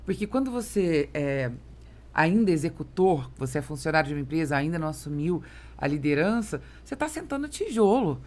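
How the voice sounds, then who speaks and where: Brazilian lady hosting a business podcast, kinda low voice, explains stuff in everyday words. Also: sing-song, a little fast, a little mellow. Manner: explanatory, colloquial, agreeing. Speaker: podcast host. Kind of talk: conversational business interview.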